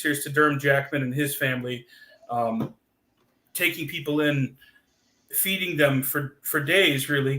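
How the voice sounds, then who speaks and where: Bright-voiced man in an echoey room. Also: a little high. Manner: rambling, many fillers and restarts. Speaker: podcast co-host. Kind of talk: casual chat reading super chats.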